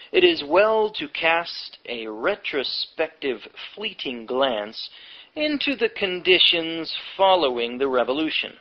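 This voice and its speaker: A man reading aloud like a preaching commentator, emphatic and deliberate, drawing out words between pauses. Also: a little high, highs cut off. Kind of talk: reading a history book aloud with preachy asides.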